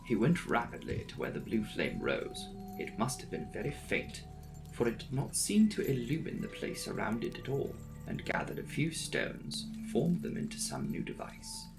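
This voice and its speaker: Creaky, dramatic male audiobook narrator. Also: mid-range voice, wildly swooping pitch, moderate pace, music in the background, poor audio. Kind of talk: dramatic reading of a classic gothic novel.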